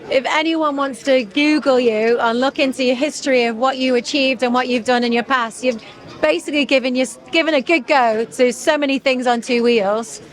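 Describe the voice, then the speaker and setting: A woman with a high, bright voice presents from a bike event, bubbly and eager as she introduces guests. She speaks as a host sheltering in a marquee. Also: normal speed, a faint crowd. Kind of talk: event coverage and interviews.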